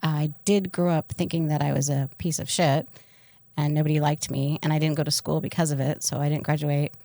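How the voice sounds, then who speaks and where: Low-voiced woman, irreverent and frank. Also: level tone, fairly quick, creaky, mellow, no room sound. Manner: irreverent, bawdy, joking frankness. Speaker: podcast co-host. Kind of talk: candid casual chat, personal storytelling.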